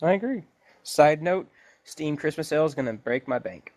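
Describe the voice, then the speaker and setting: A man's voice: a little high, lively intonation, normal speed, darkish tone. A man co-hosting a gaming podcast, talking in a casual, conversational style. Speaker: podcast co-host.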